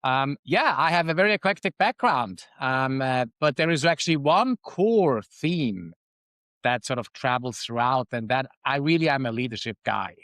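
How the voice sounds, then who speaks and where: A man's voice: medium pitch, lively intonation, moderate pace, fairly bright tone, no echo. A man in his fifties from Switzerland with a Swiss accent, a guest talking reflectively with frequent ums and uhs. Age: 50s. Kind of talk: casual interview talk.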